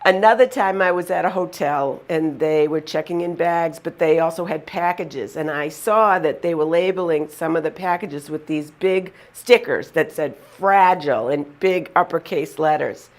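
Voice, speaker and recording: A woman with a Boston accent tells her stories with a chatty, amused energy. Her voice sits a little low for a woman, and she talks straight to the camera. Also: normal speed, few pauses. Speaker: vlogger. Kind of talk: casual storytelling to camera.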